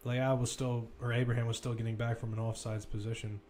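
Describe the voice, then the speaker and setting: A man's voice: medium pitch, level tone, fairly quick, a little mellow, slight background noise. A man giving live football play-by-play commentary, describing the action as it runs, with asides and self-corrections.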